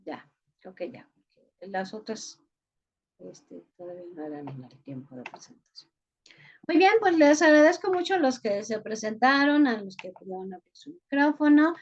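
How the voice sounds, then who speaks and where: Woman, Mexican accent, online teacher, chatty with fillers, higher voice, lo-fi call audio. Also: very animated, moderate pace. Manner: chatty, frequent "este" fillers. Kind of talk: online class, reading chat introductions. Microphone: video call. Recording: muffled lo-fi video-call audio.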